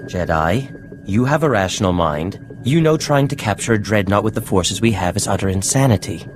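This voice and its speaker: An actor playing a wry, rational, sceptical companion in a video game, dry and articulate. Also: male voice, on the low side, lively intonation, normal speed, background noise, close to an everyday voice. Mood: wry exasperation. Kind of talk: scripted video game acting.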